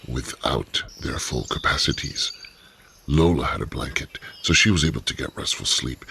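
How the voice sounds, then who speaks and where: A man hosting and narrating a radio drama, telling the story in a steady storyteller's voice. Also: very deep voice, vocal fry, a little hiss or hum. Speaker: narrator and host.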